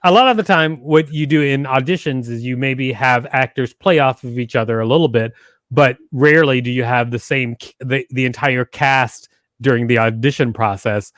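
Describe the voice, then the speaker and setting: Man, middle-aged, podcast host, explanatory, medium pitch, close dry microphone. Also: moderate pace, a bit bright, no echo. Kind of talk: conversational instructional podcast talk. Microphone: close studio microphone with foam windscreen, headset on.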